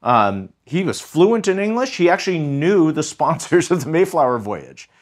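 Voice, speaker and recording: A man's voice: fairly high pitch, very animated, normal speed. A man speaking as a history professor in an interview, explaining in an emphatic, articulate, scholarly way. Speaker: history professor, podcast guest.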